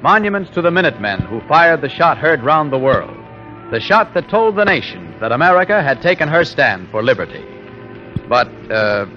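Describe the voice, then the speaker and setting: A man narrating a 1940s radio drama as a theatrical, folksy storyteller. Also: medium pitch. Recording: dull vintage 1940s radio recording.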